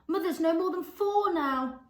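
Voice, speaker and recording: A woman voicing a frantic mother in a storybook reading, with a shrill, wailing voice. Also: measured pace. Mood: alarmed. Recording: echoey room.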